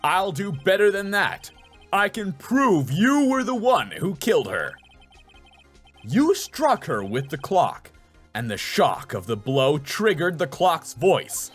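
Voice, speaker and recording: A man playing an excitable young defense attorney, swooping pitch. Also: slow pace, husky, soft background music, heavily acted. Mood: accusing. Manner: emphatic, theatrical courtroom delivery. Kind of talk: dramatic video game character dub.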